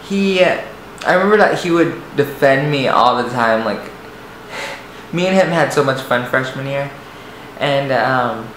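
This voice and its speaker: Young man, light soft voice, halting and rambling confessional delivery, recorded in a roomy space with faint background hiss. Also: mid-range voice, lively intonation. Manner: hesitant, rambling, many restarts and fillers. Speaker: vlogger. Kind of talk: personal storytelling to camera. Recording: echoey room, faint noise.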